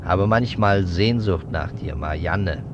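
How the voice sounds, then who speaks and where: An actor playing a methodical, suspicious investigator in a 1950s German radio drama, speaking deliberately in emphatic, clipped phrases. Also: male voice, low voice. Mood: recounting. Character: persistent adult male investigator, methodical and suspicious. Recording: dull, noisy 1950s radio recording.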